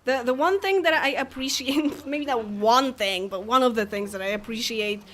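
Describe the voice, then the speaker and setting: A woman's voice: a little high, moderate pace, a bit bright. Chatty woman streaming a game. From Poland. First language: Polish. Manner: chatty, laughs often, talks to game characters. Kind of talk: casual live game commentary.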